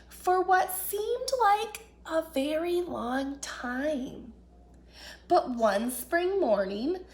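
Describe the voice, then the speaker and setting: A woman reading a picture book aloud to children as an expressive storyteller. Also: very high voice. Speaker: children's storyteller, children's ministry director.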